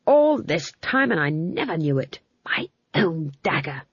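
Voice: mid-range voice, big pitch swings, measured pace, breathy edge, dry recording, muffled recording, heavily acted. A narrator voicing the ghost of an old murdered king, aggrieved and given to swearing.

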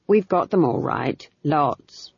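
A narrator voicing a nervous male castle chamberlain who stammers and fumbles through excuses, with a husky voice. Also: mid-range voice, expressive, slow, dry recording, lo-fi sound, heavily acted. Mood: flustered. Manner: flustered, stammering.

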